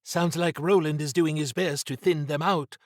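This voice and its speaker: Man voicing a plucky, higher-pitched adventuring woman. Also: steady tone, normal speed, no echo, clean studio sound, close to an everyday voice. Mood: encouraging. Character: plucky, wry adventuring woman, encouraging under pressure.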